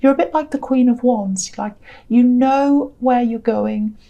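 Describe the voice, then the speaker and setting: A tired woman with a croaky voice giving a gentle, reassuring tarot reading in a casual, rambling way. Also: a little high, measured pace, lo-fi sound. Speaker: tarot reader.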